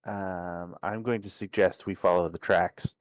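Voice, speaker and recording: A man talking casually as a tabletop game player, halting a bit as he thinks aloud. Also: low voice, steady tone, no echo.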